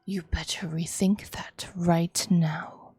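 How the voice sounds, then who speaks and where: A woman's voice: lowish voice, fairly even intonation, slow pace, a little mellow, husky, dry recording, soft background music, voice pushed down, full character voice. A woman voicing a maniacal, doting mother in a visual novel, theatrical, sweetly coaxing and threatening. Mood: menacing. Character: maniacal doting mother, cloyingly cheerful and menacing.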